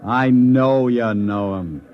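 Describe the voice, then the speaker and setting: Actor as a clipped, muffled city editor. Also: male voice, mid-range voice, slow, close to an everyday voice. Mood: brisk, dry. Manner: clipped, businesslike. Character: brisk, bossy newspaper city editor, man. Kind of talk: old-time radio drama acting. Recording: dull, faintly noisy old radio recording.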